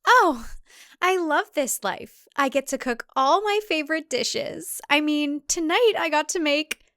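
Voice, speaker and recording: A woman voicing a brainwashed, robotically cheerful tradwife in a cult thriller audiobook, with a perky over-bright delivery. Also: sits high, expressive, unhurried, no room sound, clean studio sound, pitched above the performer's own voice, full character voice. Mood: eerily cheerful. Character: brainwashed chipper young tradwife cult member, eerily cheerful.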